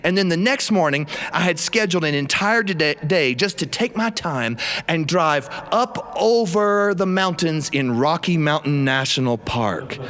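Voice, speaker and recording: High-voiced Southern storyteller man. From South Carolina. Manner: theatrical, animated delivery with acted-out exchanges. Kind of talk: live comic personal storytelling. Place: studio, recorded live.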